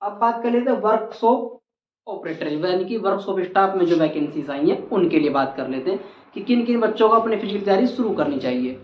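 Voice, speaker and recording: The recording is roomy and echoing, with a dulled, lo-fi sound. The voice belongs to a presenter speaking at a medium pitch. The delivery is an informal, instructive explainer for candidates, with important figures repeated for emphasis. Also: lively intonation, fairly quick, a little hiss or hum. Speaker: exam advice presenter. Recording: muffled, echoey room recording.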